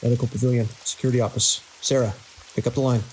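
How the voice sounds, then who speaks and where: An actor playing a grim, commanding security chief in a horror audio drama, giving terse, urgent orders. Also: male voice, mid-range voice, flat delivery, fast, a little mellow, lightly acted, low-quality recording. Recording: constant hiss and rain-like noise.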